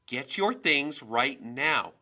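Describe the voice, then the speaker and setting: A man voicing a volatile, domineering mother in a storybook reading, with a sharp, scolding, emphatic manner. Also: medium pitch, lively intonation, takes it slowly, pitched above the performer's own voice, full character voice. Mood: angry, bossy.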